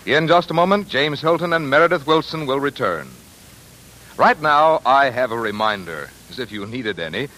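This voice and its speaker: A man's voice: medium pitch, sharp tone, slight background noise, low-quality recording. An American man announcing and reading sponsor copy in 1940s radio style on a vintage broadcast.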